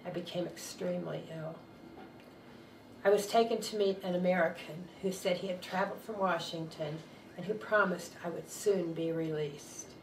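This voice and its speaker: An older woman reads a written testimony aloud in a measured, earnest voice that sits a little low. She is set back from the microphone in an echoey room, with a faint hiss underneath. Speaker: reader of a testimony. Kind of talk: reading a first-person statement aloud. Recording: roomy sound, distant mic, faint noise.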